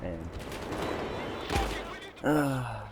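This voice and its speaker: A man's voice: mid-range voice, expressive, extremely slow. A man co-commentating casually on a video game in a dry, ironic, understated way. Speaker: let's play co-commentator. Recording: game gunfire noise behind. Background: video game gunfire.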